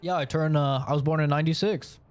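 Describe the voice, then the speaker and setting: A man's voice: on the high side, steady tone, fast, smooth. A man in his late twenties chatting casually while he streams a game, rambling frankly with lots of fillers. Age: late 20s. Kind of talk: casual chat while gaming.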